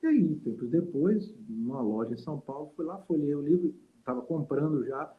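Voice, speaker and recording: A man's voice: a little high, expressive, moderate pace. A man from Salvador, a guest saxophonist and teacher telling stories in a rambling, reflective way with many fillers over a video call. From Salvador, Brazil. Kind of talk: casual interview storytelling. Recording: tinny call audio.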